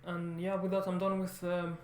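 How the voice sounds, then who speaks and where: High-voiced man in an echoey room. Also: completely flat delivery. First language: French. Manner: halting, rambling, repeats words and corrects himself often. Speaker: vlogger, language learner. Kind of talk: casual unscripted monologue to viewers.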